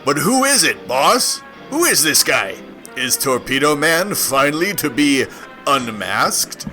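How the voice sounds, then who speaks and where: A man's voice: on the high side, sing-song, takes it slowly, sharp tone, faint music behind, exaggerated voice. A man acting as a dramatic, mock-serious narrator and henchman in a golden-age comic reading. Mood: ominous. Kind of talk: scripted comic-book narration.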